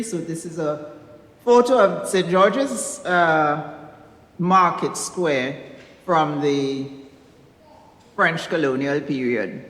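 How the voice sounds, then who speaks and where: A woman from Grenada giving a measured, explanatory prepared talk as an author to a live audience. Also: on the low side, a bit bright. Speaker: author presenting own work. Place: university recital hall. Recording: echoey recital hall.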